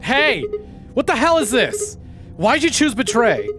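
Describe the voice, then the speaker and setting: A man's voice: very high voice, big pitch swings, unhurried, music in the background, voice pushed up, full character voice. A man reading out the lines of a trusting, easily indignant young male protagonist in a visual novel.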